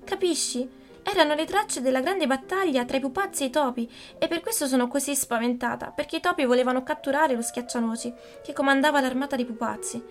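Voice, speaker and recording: A woman's voice: a little high, steady tone, a little fast, soft background music, natural voice. A woman voicing a frightened, earnest little girl who pleads breathlessly, in a storybook reading. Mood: pleading.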